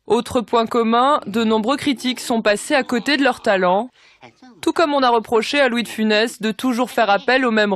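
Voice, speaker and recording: A woman reporter delivering scripted documentary narration. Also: on the high side.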